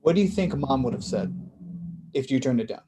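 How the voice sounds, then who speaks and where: A woman's voice: rumbling low, expressive, brisk, noisy background. A woman playing an insistent, needling younger brother of college-graduate age in a naturalistic staged play reading, pressing and direct. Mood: insistent, challenging.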